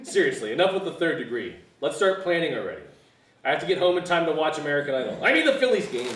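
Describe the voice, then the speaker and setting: Echoey room, slightly high male voice, man acting exasperated trip planner, grumbling, table read. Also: very animated, fast, fairly bright tone, far from the mic. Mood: matter-of-fact. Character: exasperated organiser of a fishing trip. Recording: echoey room, off-mic, faint hiss.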